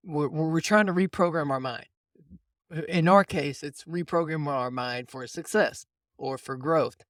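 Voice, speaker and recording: Higher-pitched man, casual podcast host. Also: fairly bright tone, dry recording, studio quality. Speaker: podcast host and mindset coach. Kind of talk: casual conversational coaching.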